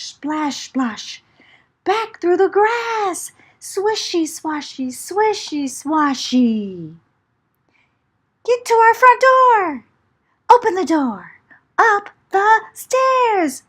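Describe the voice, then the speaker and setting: A woman's voice: extremely high pitch, sing-song, very slow, a bit bright. A woman reading a picture book aloud to children in a dramatic, theatrical way, with rhythmic chanting phrases. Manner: dramatic, theatrical, with whispers and exclamations. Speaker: children's storyteller.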